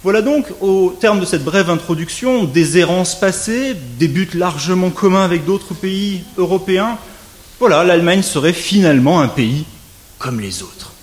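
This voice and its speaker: A man delivering a formal academic lecture at a conference, his voice sitting a little higher than most men's. He speaks in a measured, orderly way, slipping in dry, wry asides and lifting his pitch to stress key points. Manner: measured, wry asides, lively rising emphasis. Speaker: legal scholar giving a conference talk.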